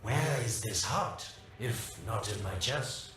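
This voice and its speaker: A sly schemer from a video game, heard in heavy echo at a distance. He is mocking and theatrical, insinuating every point, at a medium pitch. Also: animated, takes it slowly, a bit bright, a little hiss or hum. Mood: taunting. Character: sly, taunting, self-serving male schemer. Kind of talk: dramatic video game acting. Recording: echoey, distant, music behind.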